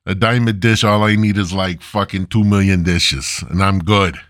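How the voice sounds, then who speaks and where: A man hosting a solo comedy podcast, rambling casually and crudely with drawn-out, mock-grandiose theatrical delivery. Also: low-pitched, steady tone, a bit bright, no room sound, clean studio sound. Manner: crude, foul-mouthed. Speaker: podcast host.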